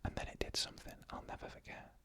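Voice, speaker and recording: An ASMR narrator telling eerie first-person stories in a hushed, slow, suspenseful whisper, almost entirely without voice. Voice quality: unvoiced whisper throughout.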